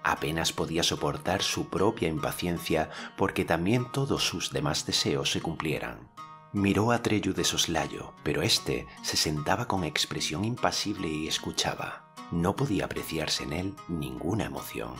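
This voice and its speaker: A man's voice: rumbling low, expressive, normal speed, fairly bright tone, soft background music. A man reading scripted literary narration as an audiobook narrator.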